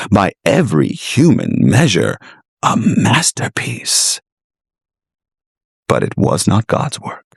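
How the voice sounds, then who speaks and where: A man narrating a scripted horror story in a measured, suspenseful, dramatic manner. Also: on the low side, vocal fry, slightly rough, no room sound, clean studio sound.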